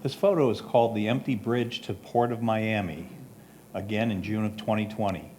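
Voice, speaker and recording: A man's voice: fairly low pitch, moderate pace, a little mellow. Man reading notes over faint background noise. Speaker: reader of photographers' comments. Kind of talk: reading prepared notes aloud.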